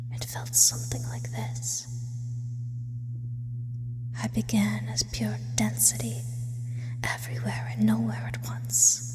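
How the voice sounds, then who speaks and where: A shadowy, lonely female sleep demon, acted by a woman in an ASMR roleplay. She speaks entirely in a breathy whisper, unhurried and formal in her wording, with a teasing edge. The voice hangs in a long, cavernous reverb. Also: mid-range voice. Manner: slow, teasing, formal wordy phrasing.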